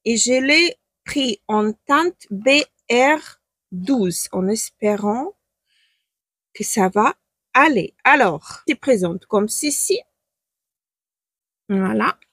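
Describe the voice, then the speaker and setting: A woman's voice: a little high, lively intonation, extremely slow, long pauses, a bit bright, breathy edge, dry recording. A woman from Ukraine with a Ukrainian accent, speaking French as a second language, chatting hesitantly through a makeup tutorial and searching for words. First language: not French. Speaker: beauty stylist and makeup vlogger. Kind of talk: casual makeup tutorial talk to camera. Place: at home, in front of her makeup.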